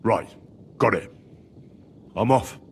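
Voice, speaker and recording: An actor playing a loyal, down-to-earth young scout in a video game, speaking in a straightforward way. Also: male voice, fairly low pitch, takes it slowly, darkish tone, a little hiss or hum. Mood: businesslike. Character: plain-spoken, loyal young adventurer and scout. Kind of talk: video game dramatic acting.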